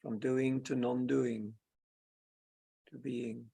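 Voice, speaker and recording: A man leading a guided meditation as a teacher, speaking in short, unhurried phrases with long pauses, his voice gravelly and husky. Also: mid-range voice, level tone, warm tone. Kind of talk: guided meditation, spoken slowly and softly.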